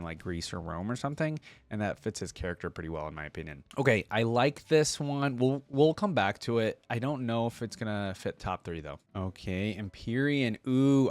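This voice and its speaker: A man's voice: medium pitch, dry recording. Casual man reacting, close dry sound. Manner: informal, hesitant deliberating, addresses viewers directly. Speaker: host of a game reaction channel. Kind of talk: casual running commentary, thinking aloud.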